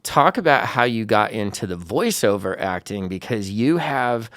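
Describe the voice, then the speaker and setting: A man's voice: lowish voice, very animated, normal speed, fairly bright tone, no echo, clean studio sound. A man hosting an interview who asks questions casually and laughs easily. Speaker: interview host.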